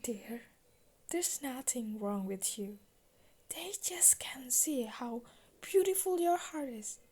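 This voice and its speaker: A woman voicing a gentle queen mother in a storybook tale, consoling in a tender, hushed way. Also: high-pitched, expressive, slow pace, husky, heavily acted. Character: gentle, soothing queen mother comforting her daughter.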